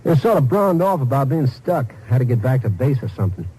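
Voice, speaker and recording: Nervous, mellow-toned garage mechanic. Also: male voice, medium pitch, sing-song, fast, husky, rough recording. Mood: nervous evasive. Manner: hesitant, stammering, fumbling. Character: nervous evasive male small-town garage mechanic. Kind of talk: 1950s radio drama acting. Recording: old radio broadcast with faint noise.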